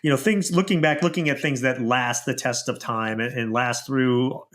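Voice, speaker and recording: A man's voice: medium pitch, fairly even intonation, moderate pace, fairly bright tone. A man hosting an interview podcast, talking casually and working toward his questions in loose, rambling phrases. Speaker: podcast host.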